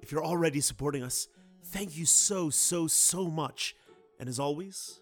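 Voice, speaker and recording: A man with a somewhat high voice delivers a scripted producer's appeal. He is earnest and clearly phrased, with music playing beneath. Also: moderate pace. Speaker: executive producer of the show. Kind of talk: scripted podcast announcement.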